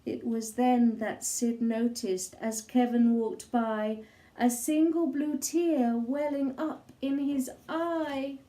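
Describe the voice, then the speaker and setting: Woman storyteller, high pitch, mellow tone, dramatic pauses, children's book narration. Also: fairly even intonation, poor audio. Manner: measured, dramatic phrasing with pauses. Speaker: storybook reader.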